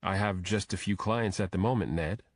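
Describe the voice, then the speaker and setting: A man's voice: deep voice, moderate pace, no echo, clean studio sound. A man voicing a probing detective with a direct, questioning manner, in an audiobook. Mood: alert, questioning. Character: probing male detective, contract consultant to the FBI. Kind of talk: audiobook character dialogue.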